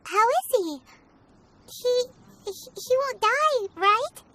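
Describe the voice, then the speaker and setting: A woman voicing a small, excitable, childlike fairy companion in a video game, squeaky and chirpy. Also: slow pace, a little hiss or hum, heavily acted. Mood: concerned. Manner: chirpy, refers to self in third person.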